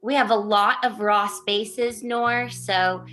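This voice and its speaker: A woman's voice: mid-range voice, steady tone, takes it slowly, a bit bright. A woman on a video call, enthusiastic and friendly, offering support to a presenter.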